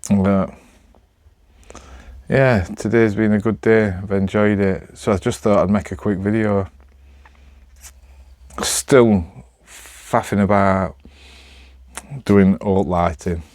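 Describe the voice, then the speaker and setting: A man's voice: lowish voice, fairly even intonation, slow pace, pauses often, darkish tone, no echo. A man with a Northern English accent chatting casually to camera, rambling in a hesitant, self-deprecating way. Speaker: amateur vlogger.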